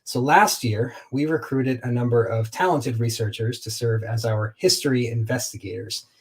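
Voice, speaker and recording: A man hosting a live online program, explaining things to viewers in a clear presenter's manner. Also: medium pitch, darkish tone. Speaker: host.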